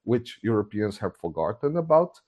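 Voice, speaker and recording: A man's voice: fairly low pitch, very animated, moderate pace, mellow. A man from Brazil with a Brazilian accent, a guest in a casual video call interview, speaking bluntly and exasperatedly with many restarts. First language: Portuguese. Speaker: guest commentator. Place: home, hot room.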